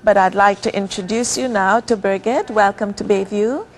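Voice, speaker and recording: A woman hosting a community TV interview, speaking in a gracious, measured and complimentary way. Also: mid-range voice. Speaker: TV interview host. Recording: noticeable background noise.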